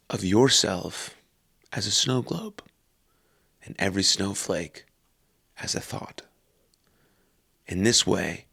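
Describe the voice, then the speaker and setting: A man leading a guided meditation calmly and unhurriedly, in short phrases with long pauses. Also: lowish voice, gravelly, husky.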